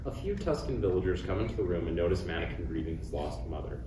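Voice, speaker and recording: A man's voice: low voice, sing-song, quick pace. A man reading stage directions in scripted narration at a table read. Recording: roomy, distant, clearly noisy recording.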